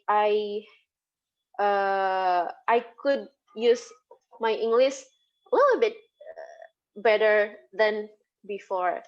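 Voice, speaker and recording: A woman lecturer with an Indonesian accent answering interview questions in English, hesitant and full of fillers and restarts. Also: a little high. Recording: dull lo-fi recording.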